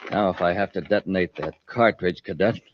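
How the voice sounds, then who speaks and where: A man's voice: deep voice, a little fast, very noisy, natural voice. A man playing a ruthless henchman in an old-time radio space adventure, barking orders and threats. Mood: menacing. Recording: muffled vintage radio recording.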